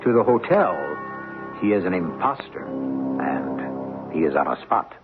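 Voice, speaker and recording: A man hosting a vintage radio mystery, narrating a script in a measured, dramatic, ironic manner. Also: extremely low pitch. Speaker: host and narrator. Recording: tinny old radio recording. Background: music.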